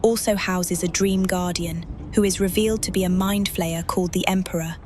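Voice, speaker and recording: A woman's voice: lowish voice, fairly even intonation, normal speed, darkish tone, slight background noise, close to an everyday voice. A woman playing a cool, dry-witted adventurer in fantasy game dialogue. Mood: dry, matter-of-fact.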